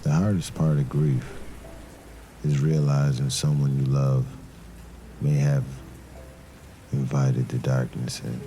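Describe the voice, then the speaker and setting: A man playing a brooding detective, narrating a crime drama in a somber, deliberate noir style with dramatic pauses. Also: rumbling low, dark tone. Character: brooding male detective narrating a murder case. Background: music.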